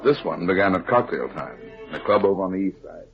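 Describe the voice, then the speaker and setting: A muffled old radio recording with faint music carries a hard-boiled, heavyset private eye. His low voice is wry and unflappable, slipping between dialogue and narration in 1950s detective radio style. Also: expressive, quick pace. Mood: wry narrating.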